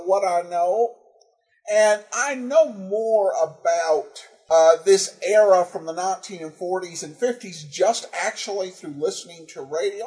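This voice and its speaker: A man hosting a podcast, speaking informally in a halting, hesitant way as he reads and answers listener feedback. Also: high voice, darkish tone, low-quality recording. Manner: halting, hesitant, breaks up phrases. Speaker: podcast host.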